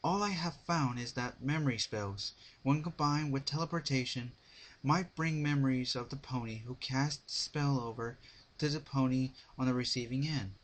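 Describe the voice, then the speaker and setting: A man's voice: medium pitch, normal speed, lightly acted, rough recording. Man voicing an exhausted, frazzled female pony. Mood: weary. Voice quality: tired, slurred.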